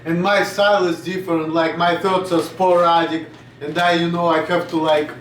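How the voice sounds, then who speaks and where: A man's voice: on the high side, flat delivery, normal speed, fairly bright tone, echoey room, far from the mic, slight background noise, rough recording. A man in his late twenties from Russia, with a Russian accent, rambling bluntly in a casual vlog and swearing now and then. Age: 20s. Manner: blunt, swearing, drifts off topic. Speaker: vlogger.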